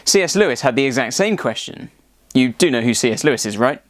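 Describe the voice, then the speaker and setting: A man's voice: medium pitch, animated, fast talker, no room sound. Man narrating, very dry close sound. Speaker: presenter. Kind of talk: scripted narration, explanatory.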